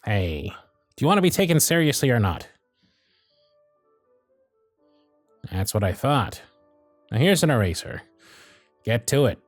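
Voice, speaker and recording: A man playing a gruff but fond elf workshop supervisor in an ASMR roleplay, with a bossy, teasing, sardonic way of talking. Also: medium pitch, sing-song, moderate pace, no echo, soft background music.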